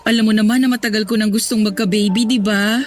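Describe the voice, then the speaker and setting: A woman's voice: medium pitch, fairly even intonation, quick pace, close to an everyday voice. An actress playing an affectionate, teasing young mother-to-be and girlfriend in a radio drama. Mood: tender, excited. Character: affectionate woman, newly pregnant office worker and girlfriend. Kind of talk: Tagalog radio drama acting. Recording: music bed under dialogue.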